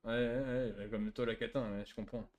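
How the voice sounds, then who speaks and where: A man's voice: on the low side, fairly even intonation, a little fast, darkish tone. A man in an online roleplay game, chatting casually, needling the others and arguing back.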